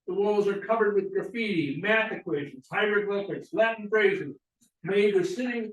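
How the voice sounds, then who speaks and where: High-voiced man reading stage directions. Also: dull audio. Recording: echoey room, distant mic.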